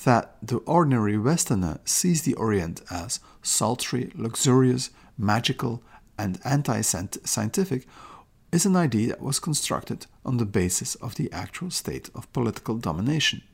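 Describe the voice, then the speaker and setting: A man with a gravelly, low-middle voice delivers a podcast lecture. He explains steadily and carefully, now and then restarting a phrase before carrying on. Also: big pitch swings, normal speed. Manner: quotes passages aloud. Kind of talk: lecture-style podcast narration.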